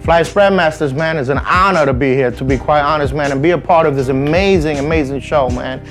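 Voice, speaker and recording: A man's voice: a little high, a little fast, soft background music. A man in his forties from the Dominican Republic, a guest chatting easygoingly in an interview, with frequent "you know what I mean.". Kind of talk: casual interview chat.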